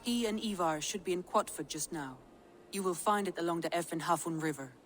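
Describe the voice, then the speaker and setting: An actress playing a composed, shrewd Norse clan adviser in a video game, grave and articulate. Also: female voice, on the low side, fairly quick, slight background noise, close to an everyday voice. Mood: composed, concerned.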